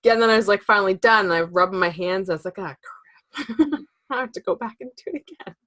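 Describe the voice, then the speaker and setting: Woman, thirties, podcast co-host, chatty, laughing, bright clear voice. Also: mid-range voice, fast. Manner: chatty, laughs often, lots of fillers. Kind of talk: casual knitting chat.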